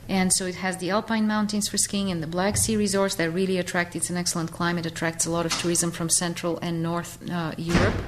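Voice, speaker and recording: A middle-aged woman with a Bulgarian accent, speaking a touch low in pitch. She answers interview questions in a considered way, often pausing on "uh" and "um" as she gathers her thoughts. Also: a little hiss or hum. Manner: thoughtful, many fillers and pauses. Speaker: interview guest, educator. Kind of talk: on-location radio interview. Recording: radio interview.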